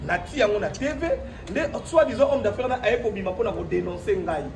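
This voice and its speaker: A Congolese man with a notably high voice speaks in an echoey room, some distance from the microphone. He delivers an improvised statement to the press, defiant and emphatic, hammering points by repetition and rhetorical questions. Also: lively intonation, fairly quick, gravelly. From Democratic Republic of the Congo. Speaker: speaker at his own press conference. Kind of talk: press statement mixing French and Lingala, partly improvised. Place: echoey room at his own premises. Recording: roomy, distant microphone, noisy background.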